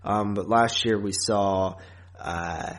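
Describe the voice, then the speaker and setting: A man's voice: fairly low pitch, fairly even intonation, takes it slowly. A man hosting a podcast in casual chat, rambling along informally and chuckling often. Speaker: podcast host. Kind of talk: casual chat about horror movies and podcasting.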